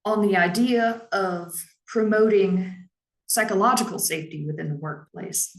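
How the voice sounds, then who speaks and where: A woman who heads a public library, giving a measured, explanatory presentation on staff training in her naturally lower voice. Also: reverberant, poor audio. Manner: measured and explanatory, with frequent "um" and "now".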